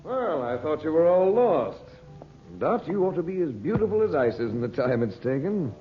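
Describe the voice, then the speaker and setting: An actor playing a reserved, protective uncle in an old radio drama. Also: male voice, fairly high pitch, sing-song, normal speed. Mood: teasing, apologetic. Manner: reserved, guarded. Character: guarded, protective uncle, polite but firm. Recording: dull old radio recording, faint music.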